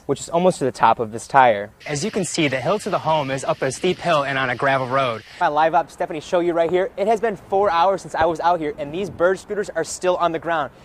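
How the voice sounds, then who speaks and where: A man's voice: a little high, a little fast, hardly any pauses, a little hiss or hum. A man working as a television news reporter, delivering scripted reports and stand-ups.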